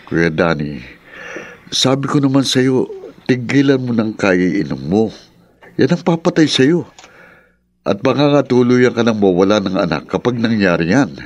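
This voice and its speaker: A man's voice: mid-range voice, sing-song, unhurried, long pauses, no echo. An actor playing a blunt, scolding man in a radio drama, insistent in manner, with a Filipino accent. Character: blunt, nagging man scolding his hard-drinking elder.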